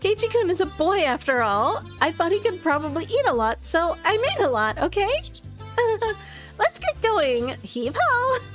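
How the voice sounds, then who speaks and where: A sweet anime teenage girl from a visual novel, acted out by a woman. The voice sits very high and lilts in a cutesy, bubbly way, easily flustered and eager to please. Music plays behind it. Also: very animated, unhurried, fairly bright tone, heavily acted. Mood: cheerful.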